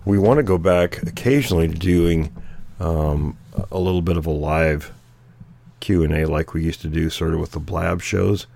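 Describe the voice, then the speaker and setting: Very deep, hoarse-edged man chatting. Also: moderate pace, darkish tone. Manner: clears throat often, meandering. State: losing his voice. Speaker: podcast co-host. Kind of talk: casual chat.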